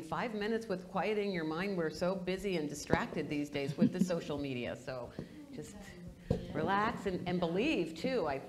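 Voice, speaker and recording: A woman's voice: sits low, noisy background. A woman moderating a stage panel in a large auditorium, speaking in an encouraging, reflective way. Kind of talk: panel discussion.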